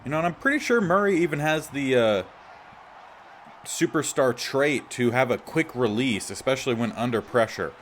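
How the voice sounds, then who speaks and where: A man with a slightly raspy voice giving casual gameplay commentary as the host of a gaming series. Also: mid-range voice, animated, moderate pace, a little hiss or hum.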